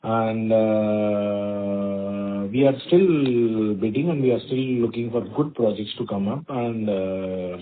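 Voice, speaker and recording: A man, a company executive answering investor questions in a halting way, with many fillers. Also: on the low side, slight background noise. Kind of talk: earnings call answers. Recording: phone-line call audio.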